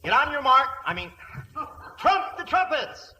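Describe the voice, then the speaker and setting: An actor playing a cowardly ham who poses as a pompous upper-class British earl, exaggerated and wisecracking, in old-time radio comedy. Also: male voice, very high-pitched, big pitch swings, a little slow, sharp tone, full character voice. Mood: jolly bluster. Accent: put-on upper-class British. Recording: faintly noisy vintage radio recording.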